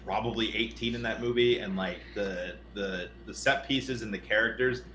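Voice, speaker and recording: Guy on a podcast, lowish but forceful voice, stumbles over words, echoey room. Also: steady tone. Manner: halting, repeats words as he goes. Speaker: podcast co-host. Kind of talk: casual chat about films. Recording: roomy echo, faint noise.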